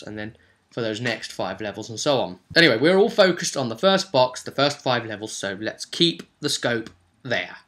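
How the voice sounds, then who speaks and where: Bright, forceful man hosting a hobby vlog. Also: mid-range voice. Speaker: host and game master. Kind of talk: casual vlog talk about a tabletop role-playing game.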